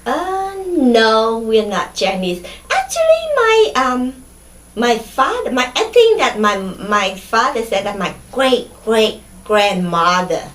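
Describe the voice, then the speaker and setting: A middle-aged woman from Vietnam with a Vietnamese accent, recalling her childhood in an oral history interview, pausing often with fillers and restarts. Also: a little high, very animated, fairly bright tone, reverberant. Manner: hesitant, many fillers and restarts.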